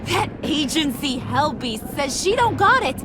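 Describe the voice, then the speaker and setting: A volatile criminal woman in a video game, slow and taunting, with a high New voice. She is brassy and theatrical. A clear rumble runs behind her. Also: big pitch swings. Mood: cocky, menacing. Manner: sassy, slangy, theatrical taunting. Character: volatile, brassy female criminal doctor, cocky and menacing.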